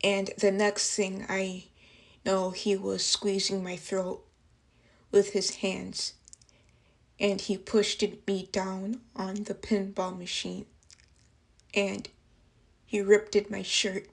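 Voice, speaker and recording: A woman playing a traumatized young waitress in a dramatic monologue, speaking haltingly in broken phrases. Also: mid-range voice, monotone, creaky. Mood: uneasy, halting. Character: traumatized young working-class waitress recounting an assault. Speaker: actress performing a film monologue.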